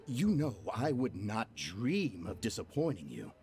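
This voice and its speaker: A slippery merchant who grovels to his powerful backers, low-voiced and slow, with a voice that swings up and down as he soothes and flatters. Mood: fawning. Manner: slow, obsequious and reassuring. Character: scheming male merchant, fawning to his backers. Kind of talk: video game dialogue.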